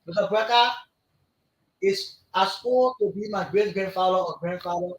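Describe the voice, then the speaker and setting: High-pitched man, echoey and off-mic. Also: moderate pace. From Liberia. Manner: insistent, repeats himself to hold the floor. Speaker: studio guest, party executive. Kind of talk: heated political debate.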